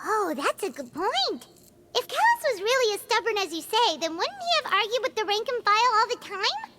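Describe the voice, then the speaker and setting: An extremely high, squeaky fairy chirps with childlike curiosity and swooping intonation in a video game. There is clear noise in the recording. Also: female voice, sing-song, a little fast. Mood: curious. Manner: squeaky, inquisitive.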